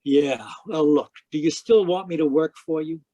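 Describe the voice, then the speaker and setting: A man's voice: on the high side, normal speed, mellow, lightly acted. An actor playing a wry, inquisitive male private detective in a radio drama, telling his story in hard-boiled style. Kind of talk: radio detective drama, first-person narration and dialogue. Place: live remote performance over video call.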